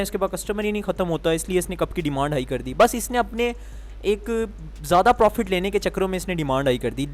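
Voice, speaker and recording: Male trading teacher, sore throat, slightly high voice, question-and-answer explaining. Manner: explains step by step, asking the viewer questions and answering them himself. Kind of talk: explanatory lesson.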